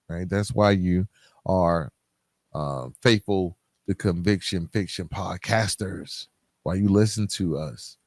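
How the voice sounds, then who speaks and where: A man's voice: fairly low pitch, darkish tone, no echo. A man hosting a podcast, speaking candidly and emphatically straight to listeners in colloquial, streetwise phrasing, like a motivational monologue. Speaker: podcast host.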